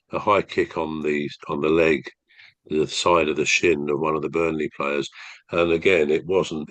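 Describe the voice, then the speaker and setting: A man from England, co-hosting a football podcast in a measured, thoughtful way, his nose still blocked from a cold. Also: low voice, a bit bright, no room sound. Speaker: podcast co-host, former referee. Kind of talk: casual podcast chat.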